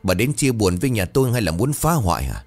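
Audio-story role: bullying village brother played by a male narrator, coarse, barking, lively swings in pitch, faint music behind. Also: brisk. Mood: angry shouting. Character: menacing, foul-mouthed hot-tempered village man, bullying brother. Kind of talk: dramatic audio-story dialogue.

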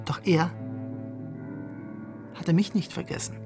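A man's voice: fairly high pitch, fairly even intonation, slow pace. An actor playing a condemned husband who narrates his downfall in a detached, ironic, literary way for a radio drama. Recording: music bed behind the voice.

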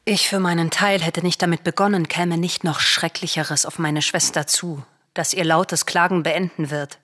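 A pragmatic, submissive sister from Greek tragedy, in a lowish voice. She reasons and admonishes urgently, as one who bows to the powerful in a radio drama. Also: fairly even intonation, moderate pace, fairly bright tone. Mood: urgent warning. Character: Chrysothemis, cautious, submissive princess, pragmatic pleading sister.

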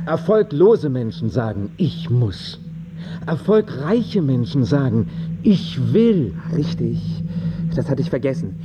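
An actor playing a hesitant man earnestly reciting self-help mottos, halting and deliberate, in a radio play. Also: mid-range voice. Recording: muffled radio play, music clearly underneath.

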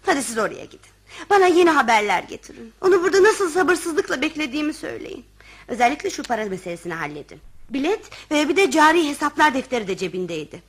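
High, swooping, flirtatious society wife. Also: female voice, very animated, quick pace. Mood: fussy, vain. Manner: coquettish, chattering. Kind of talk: radio theatre comedy acting.

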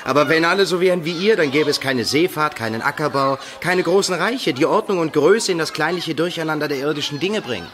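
An actor playing a proud, commanding poet on a quest in a radio drama, speaking in a challenging, assertive way. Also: male voice, on the high side, animated, brisk, few pauses, fairly bright tone. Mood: challenging, scornful.

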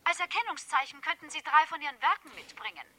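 A high, very bright female voice as a genteel blackmailer in a seventies radio drama. She is sugary and over-polite, with an insinuating edge. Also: sing-song, fast, slight background noise, heavily acted. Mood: businesslike. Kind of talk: 1970s radio play acting.

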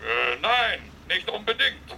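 A man's voice: sits high, big pitch swings, slow, vocal fry, pushed and bright, slight background noise, heavily acted, low-quality recording. A man playing a pedantic, exasperated council foreman with a bureaucratic manner in a German radio comedy. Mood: befuddled, yielding. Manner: bureaucratic, repetitive, hesitant.

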